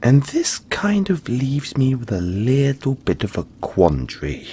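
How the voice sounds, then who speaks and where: A man voicing a gentle, cuddly, long-lived yeti in an intimate audio roleplay, speaking reassuringly with breathy, dramatic pauses. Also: mid-range voice, wildly swooping pitch, a little hiss or hum. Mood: coy, deliberate.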